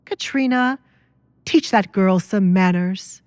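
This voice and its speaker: A woman's voice: mid-range voice, slow, darkish tone, smooth, no room sound, lightly acted. A woman voicing a stern middle-aged baron in an audio drama, curt and authoritative. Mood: curt, irritated.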